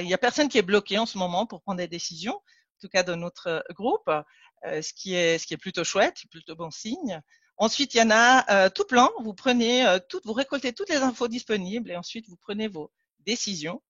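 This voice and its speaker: A woman's voice: medium pitch, a bit bright, no echo. Woman from Ticino, Italian native tongue, hesitant webinar trainer; dull, dry mic. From Ticino, Switzerland. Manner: hesitant, many fillers and restarts. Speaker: trainer and consultant. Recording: dull lo-fi dry call audio.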